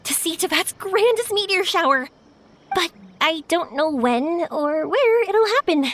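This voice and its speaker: A woman voicing a cheerful, excitable and friendly young fireworks maker in a video game, bubbly and expressive. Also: very high-pitched, unhurried, a little hiss or hum, exaggerated voice.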